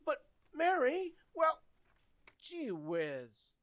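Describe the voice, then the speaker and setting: A woman playing an anxious, excitable teenage boy with whiny, flustered exclamations in old-time radio comedy style. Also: high-pitched, very slow, no room sound, heavily acted. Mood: pleading.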